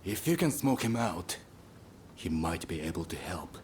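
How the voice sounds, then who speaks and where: An actor playing a sober, blunt physician in a video game drama, grave and matter-of-fact. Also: male voice, deep voice, sing-song, normal speed, a little mellow, slight background noise. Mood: sober, cautioning.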